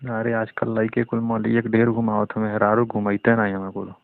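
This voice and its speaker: A man's voice: fairly low pitch, completely flat delivery, fairly quick, gravelly, slight background noise. A man with a Bhojpuri accent from eastern Uttar Pradesh, chatting casually, flirting and needling on a recorded phone call. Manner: teasing, needling.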